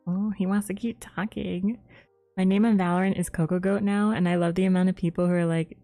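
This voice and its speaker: A woman with a low, mellow voice chats casually on a game livestream. She reacts offhandedly to the game and her viewers. Game music plays behind her. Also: fairly even intonation, brisk. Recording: stream mic over game music.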